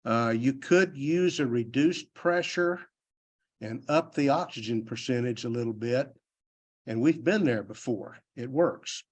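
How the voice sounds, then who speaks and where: A man's voice: medium pitch, a little slow. Older Texan man giving a folksy talk. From Texas. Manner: plainspoken, self-deprecating, loose and rambling. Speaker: conference speaker. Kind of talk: folksy technical presentation. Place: university convention hall.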